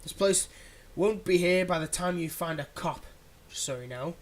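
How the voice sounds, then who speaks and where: A performer playing a theatrical supervillain, menacing yet exasperated and softhearted, in a one-sided ASMR roleplay spoken straight to the listener. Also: mid-range voice, normal speed, close to an everyday voice. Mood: impatient. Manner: dramatic, swinging between threats and hushed soothing.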